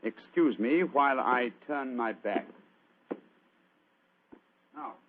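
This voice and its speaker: A man playing a shrewd, courteous gentleman detective in a radio drama, formal and theatrically authoritative. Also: mid-range voice, takes it slowly. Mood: commanding, explanatory. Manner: hesitant coaxing, formal explaining. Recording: muffled, lo-fi old radio recording.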